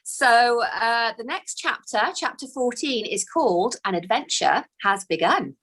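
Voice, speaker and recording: A woman author reading her children's book aloud with expressive, unhurried storytelling. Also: a little high.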